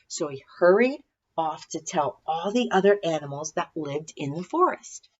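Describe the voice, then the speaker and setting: A woman storybook reader with a fairly low voice, narrating expressively for children. The recording is a little dull and lo-fi. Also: animated, normal speed, breathy edge.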